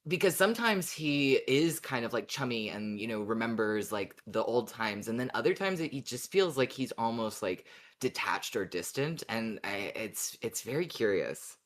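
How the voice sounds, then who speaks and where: A woman with a strikingly deep voice co-hosts a talk show. She chats in a loose, informal way, often agreeing and working out her thoughts as she speaks. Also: lively intonation, moderate pace, few pauses, slight background noise. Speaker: podcast co-host. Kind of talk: casual chat.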